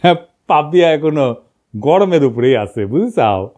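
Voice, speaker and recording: Middle-aged man, northern Bangladeshi accent, lively swooping pitch, mellow tone, colloquial mocking political monologue. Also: moderate pace. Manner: mocking, colloquial, rhetorical questions. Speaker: political commentator, online host. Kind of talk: informal monologue, storytelling political commentary.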